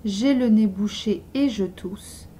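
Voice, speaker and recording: A woman slowly reading simple model sentences aloud for beginner language learners, pronouncing each one with care. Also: mid-range voice, mellow, slight background noise. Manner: slow, carefully articulated dictation. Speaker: language teacher.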